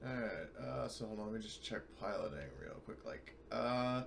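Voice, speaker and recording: A man's voice: fairly low pitch, lively intonation, moderate pace, creaky. A man chatting casually as a player in a tabletop roleplaying game.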